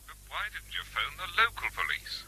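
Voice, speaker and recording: An actor playing a polite, steady police sergeant, formal and businesslike, in a radio drama. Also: male voice, fairly high pitch, normal speed, full character voice, low-quality recording. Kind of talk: naturalistic radio drama acting. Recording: radio drama with faint noise.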